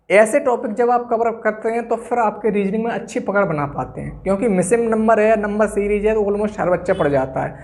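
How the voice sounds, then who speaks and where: A man's voice: high voice, darkish tone. A man giving an informal, instructional exam-coaching talk, emphatically repeating key phrases for stress.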